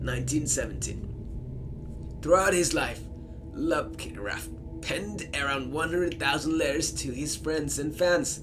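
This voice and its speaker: A man's voice: a little high, poor audio. A man hosting a let's play, talking casually as he thinks aloud and reads out game text. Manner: chatty, thinks aloud, repeats himself. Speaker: gamer, let's play host. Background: game music.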